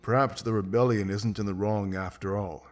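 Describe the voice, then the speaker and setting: Casting: confident, pragmatic wolf sellsword for a fantasy game, male actor, low register, blunt delivery over music. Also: steady tone, moderate pace, lightly acted. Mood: reflective. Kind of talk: video game voice acting. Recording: music behind.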